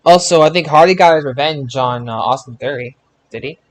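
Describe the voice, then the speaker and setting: A man hosting a casual group discussion about sport, in a halting way with repeated words. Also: fairly high pitch. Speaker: podcast host.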